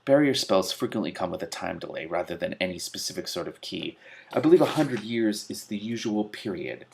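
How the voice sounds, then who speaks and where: A man voicing a brisk, commanding witch with a stern, dry manner in a storybook. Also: low voice, lively intonation, creaky, a little mellow, a little hiss or hum. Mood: wry.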